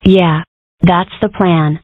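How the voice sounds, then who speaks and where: A woman voicing a weary, dry-witted female rabbit in charge, deadpan and sardonic, in a cartoon comic dub. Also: lowish voice, takes it slowly, no room sound, narrow, tinny audio. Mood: tired. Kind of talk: comic dub character acting.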